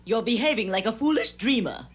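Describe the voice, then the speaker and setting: A woman's voice: on the high side, animated, fairly quick, noisy background, close to an everyday voice. A woman voicing a stern middle-aged stepmother in a cartoon fairy tale, scornful, bossy and scolding. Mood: disapproving. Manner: scolding, sarcastic, imperious.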